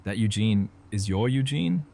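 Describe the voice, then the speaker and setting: A man playing an easygoing, low-voiced teasing doctor. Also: expressive, unhurried, gravelly, mellow. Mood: incredulous, joking. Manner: casual, playful banter. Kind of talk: fan audio drama dialogue.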